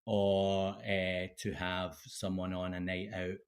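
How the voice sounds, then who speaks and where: A Scottish man in his thirties, a guest speaking casually in an interview, halting with many fillers and restarts. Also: low-pitched, completely flat delivery. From Scotland. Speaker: guest, PhD candidate.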